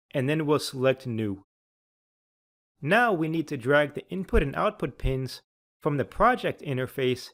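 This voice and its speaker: A man presenting a scripted software tutorial as an applications engineer, speaking in a measured, instructional way. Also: on the high side.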